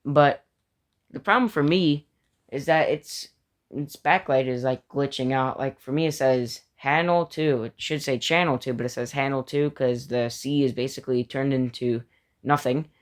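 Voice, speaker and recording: A man's voice: medium pitch, moderate pace. A man giving casual, unscripted review commentary, thinking aloud hesitantly and often repeating his phrases. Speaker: video creator ranking a personal collection.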